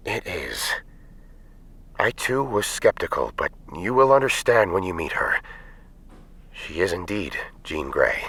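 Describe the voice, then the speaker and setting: A man's voice: low-pitched, sing-song, a little slow, creaky, pauses often, sharp tone, slight background noise, exaggerated voice. A man playing an erudite, earnest blue-furred hero in an audio drama, with formal, articulate speech. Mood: grave, earnest.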